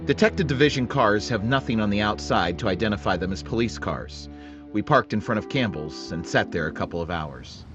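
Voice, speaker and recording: An actor playing a terse, businesslike police sergeant who narrates the case in a clipped, matter-of-fact 1940s radio drama style. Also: male voice, on the low side, animated, brisk, close to an everyday voice. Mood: flat narration. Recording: dull lo-fi radio sound with music bed.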